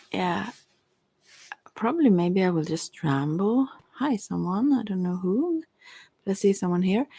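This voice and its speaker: Slow, low, breathy ASMR woman, non-native English. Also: no room sound. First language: not English. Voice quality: soft, breathy, slipping into whispers. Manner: hesitant, meandering, trailing off. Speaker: ASMR creator and tarot reader. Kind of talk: rambling soft-spoken ASMR chat.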